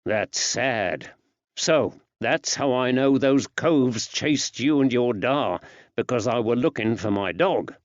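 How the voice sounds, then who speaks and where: A man voicing a kindly character who gently coaxes answers from a child, with old-fashioned working-class phrasing. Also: medium pitch, animated, takes it slowly, no echo, lo-fi sound. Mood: reassuring.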